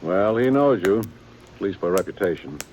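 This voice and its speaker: An actor playing a weary, dutiful aging small-town sheriff with a plain folksy drawl in a 1950s radio drama. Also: male voice, sits low, animated, normal speed, darkish tone, poor audio. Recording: old radio broadcast with faint hiss.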